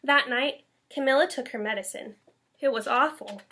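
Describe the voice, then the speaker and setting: A woman reads a children's story aloud like a schoolteacher. Her voice is fairly high and very bright, and her delivery is expressive. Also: moderate pace. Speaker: elementary school teacher reading aloud.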